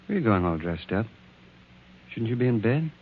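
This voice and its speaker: An eager, affectionate suitor in a 1940s radio play. His husky voice is low and swings freely in pitch. The recording is old and tinny. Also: sing-song, a little fast, exaggerated voice. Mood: cheerful, admiring. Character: eager, affectionate bachelor artist courting a woman. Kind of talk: 1940s radio drama acting.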